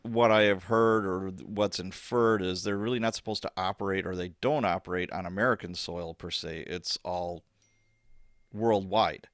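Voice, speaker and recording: Low-voiced man interviewing casually, dry sound. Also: fairly even intonation, normal speed, fairly bright tone, dry recording. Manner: informal, loosely structured questioning. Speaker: podcast host, interviewer.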